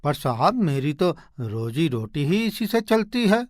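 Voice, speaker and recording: A man voicing a poor, humble woodcutter husband in an animated moral story, earnest and expressive. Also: fairly high pitch, a little slow, no echo, clean studio sound. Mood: earnest, pleading.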